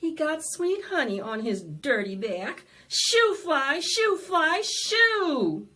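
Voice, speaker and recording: A woman presenting storytime for young children, singing and reading aloud in an animated, sing-song way that coaxes them to join in. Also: high voice, slow pace. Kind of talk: interactive preschool storytime, singing and read-aloud.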